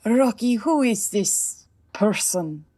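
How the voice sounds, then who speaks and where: A woman playing a proud, wounded middle-aged Turkish bathhouse owner in an audio drama, measured and dramatic. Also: a little high, animated, mellow. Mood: uneasy, guarded.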